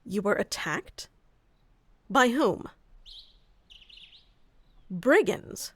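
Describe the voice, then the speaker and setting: A woman's voice: mid-range voice, big pitch swings, drawn-out words, a bit bright, slightly rough, no echo, full character voice. A woman playing a haughty, easily flustered young female elf guarding her forest in a scripted fantasy roleplay, with prickly, old-fashioned wording. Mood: stern, suspicious. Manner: prickly tsundere, archaic wording, stammers when flustered.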